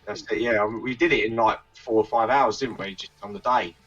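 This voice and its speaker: A man sounds a little far from a dull microphone. His voice is fairly low, and he chats hesitantly and loosely in an interview. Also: fairly even intonation, fairly quick, fairly bright tone. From South of England. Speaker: band member being interviewed. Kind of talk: casual chat.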